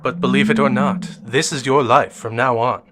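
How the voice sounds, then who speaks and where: A man playing a mysterious, manipulative professor in an audio drama, speaking in formal, measured, grandiose lines. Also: mid-range voice, fairly even intonation, background noise, lightly acted. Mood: solemn, grand. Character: mysterious, manipulative professor mentor with hidden menace.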